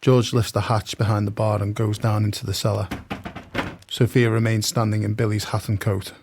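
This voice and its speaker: Man to narrate an audio play: deepish, level, unemotional, reading stage directions. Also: level tone, normal speed, darkish tone.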